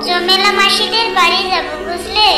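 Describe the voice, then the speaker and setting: A woman's voice: extremely high pitch, fairly even intonation, normal speed. A woman voicing an innocent, trusting little village child in a fairy-tale cartoon, chatty and earnest. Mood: concerned, earnest. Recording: echoey, music behind.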